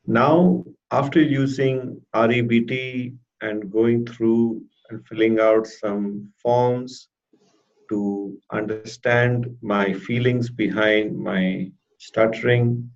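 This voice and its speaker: A man with a stutter giving a halting, deliberate personal testimonial as a therapy client in an interview. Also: medium pitch, steady tone. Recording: dull lo-fi audio.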